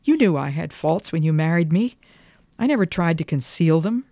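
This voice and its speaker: A woman's voice: low-pitched, animated, normal speed, mellow, no echo, clean studio sound. A woman voicing a passionate, wounded young wife in an old-fashioned storybook, with emphatic, pleading delivery.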